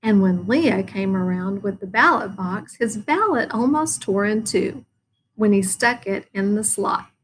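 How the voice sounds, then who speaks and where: A woman's voice: mid-range voice, unhurried, a little hiss or hum, low-quality recording. A woman, a school principal, narrating a children's storybook aloud.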